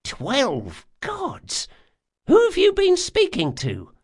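A man voicing a brusque, sharp-tongued old woman, a master artificer in a fantasy audiobook. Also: high-pitched, wildly swooping pitch, measured pace, voice pushed up, exaggerated voice. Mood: awed, excited. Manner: brusque, exclamatory, scolding.

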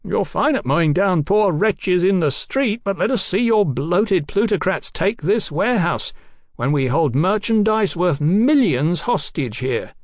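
High-pitched fanatical revolutionary villain. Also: male voice, animated, unhurried, dry recording, studio quality. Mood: taunting. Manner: theatrical, declamatory, taunting. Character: Captain Swing, fanatical taunting male revolutionary villain.

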